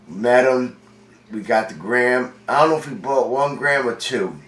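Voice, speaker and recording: A man's voice: mid-range voice, measured pace, fairly bright tone. A forty-year-old man from Long Island, congested, telling his own story in a rambling, profane, streetwise way. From Long Island, New York. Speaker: vlogger. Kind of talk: casual storytelling monologue. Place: indoor room with a window. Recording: roomy, distant from mic.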